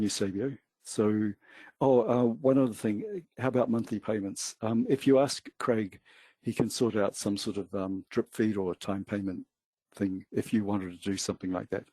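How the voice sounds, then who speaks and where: A man with a New Zealand accent co-hosting a trading webinar in a relaxed, hesitant way with many fillers. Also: fairly low pitch, dry recording. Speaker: webinar co-host. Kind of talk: casual webinar talk.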